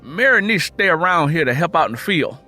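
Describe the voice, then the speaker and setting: A man's voice: fairly high pitch, sing-song, fast, bright, forceful tone, faint music behind, voice pushed up, heavily acted. A man playing a hot-tempered, hard-drinking rural father with a growling Southern voice and a blustering, folksy dialect, in an audio drama. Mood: suspicious.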